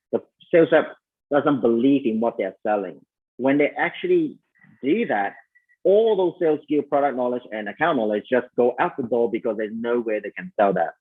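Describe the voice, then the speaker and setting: A man's voice: medium pitch, breathy edge, narrow, tinny audio. A man with a Malaysian accent giving a conversational sales coaching talk at an online summit, full of fillers and talking straight to his listeners. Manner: conversational, many fillers, addresses listeners as "you guys".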